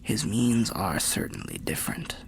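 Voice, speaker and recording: A man playing a weary, wry undead storyteller in an ASMR fantasy roleplay, confiding in a breathy, gravelly half-whisper with old-fashioned phrasing. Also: fairly low pitch, animated, normal speed. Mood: wry, musing.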